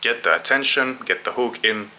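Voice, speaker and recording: A man's voice: mid-range voice, normal speed, bright, forceful tone. A man with a Finnish accent chatting casually and bluntly as a vlogger, swearing freely in an informal way. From Finland. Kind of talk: casual chat to camera. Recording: clear background noise, traffic and ambience.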